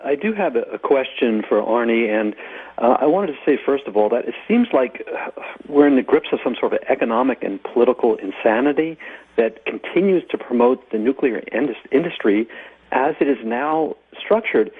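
A man's voice: mid-range voice, lively intonation, moderate pace, husky. An American man calling a radio show by phone, asking questions in an earnest, formally worded way. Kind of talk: measured question to a radio guest. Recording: tinny, narrow phone line.

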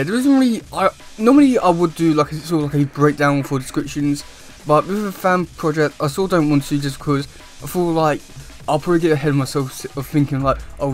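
A young man chatting casually to camera as a YouTube host, with a halting, wordy delivery. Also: a little high. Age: young adult. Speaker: YouTube host reviewing comic news. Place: small room indoors at home.

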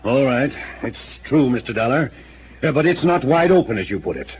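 An actor playing a pompous, defensive small-town mayor in a 1950s radio drama. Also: male voice, medium pitch, lively intonation, a little fast, slight background noise, natural voice. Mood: insistent. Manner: pompous, insistent. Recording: tinny old radio transcription.

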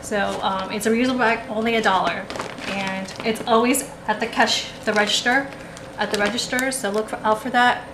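A woman's voice: a little high, steady tone, moderate pace. A woman chatting casually through a haul at a table, rambling with lots of ums and restarts, recorded on the camera. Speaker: haul vlogger. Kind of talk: casual show-and-tell haul. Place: indoors at a table, camera over the table. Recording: echoey room with background noise.

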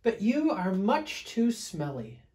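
A big fluffy female bear from a children's storybook, played by a narrator. The voice is lifted high and swings up and down. The manner is frank, bossy and insistent. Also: very animated, slow pace, a little mellow. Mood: frank advice. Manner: insistent, scolding, exclaiming.

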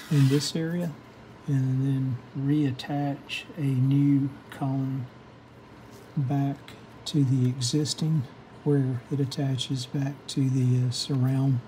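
Mellow-voiced man demonstrating at a workbench. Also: mid-range voice, a little hiss or hum, low-quality recording. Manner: hesitant, methodical step-by-step explaining. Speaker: host of a radio repair how-to channel. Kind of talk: unscripted hands-on workshop demonstration. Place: indoor home workshop bench.

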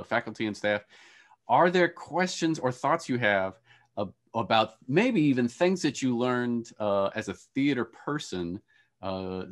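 A middle-aged man with a medium-pitched voice hosts a conversation. He talks casually, posing questions and thoughts over a video call. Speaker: interview host, academic. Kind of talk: casual moderated discussion. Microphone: wired earphones on a video call.

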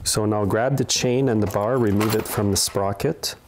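Low-pitched middle-aged man giving repair instructions. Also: moderate pace, very noisy. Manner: plain-spoken, step-by-step instruction. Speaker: small engine repair host. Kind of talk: hands-on tutorial narration. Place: indoor repair workshop.

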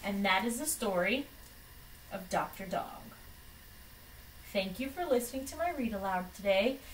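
A young woman reading a storybook aloud to children in an expressive, deliberate way. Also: mid-range voice, slight background noise. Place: small room at home, indoors. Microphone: camera microphone from across the room. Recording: distant microphone in a room.

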